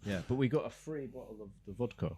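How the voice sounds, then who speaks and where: A man's voice: mid-range voice, lively intonation, fast talker, warm tone, breathy edge, no room sound. A man co-hosting a comedy podcast, joking in a self-deprecating, chatty way. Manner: self-deprecating, mock-pleading. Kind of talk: casual banter.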